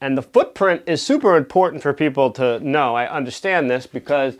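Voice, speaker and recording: A man's voice: medium pitch, animated, fairly quick. A man giving a casual product review, explaining things conversationally and often checking in with the listener.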